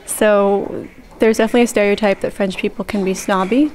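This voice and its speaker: A woman explaining stereotypes clearly and evenly in an interview. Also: mid-range voice, steady tone, a little fast, a little mellow, low-quality recording.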